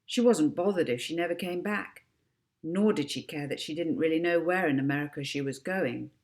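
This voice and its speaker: A woman author reading her own children's book aloud as expressive narration. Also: deep voice, moderate pace.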